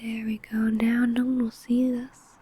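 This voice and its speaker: Possessive vampire coworker for ASMR roleplay, played by a woman: breathy female whisper, soft and mellow, menacingly teasing, faint background noise. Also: fairly high pitch, flat delivery, natural voice. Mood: controlling, menacing. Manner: slow, teasing, menacing, deliberate. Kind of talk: ASMR roleplay, intimate monologue to listener.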